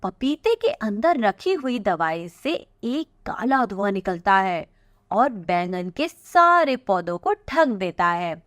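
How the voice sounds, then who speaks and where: A woman's voice: medium pitch, sing-song, slow, a bit bright. A woman narrating a scripted children's story as its storyteller. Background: music.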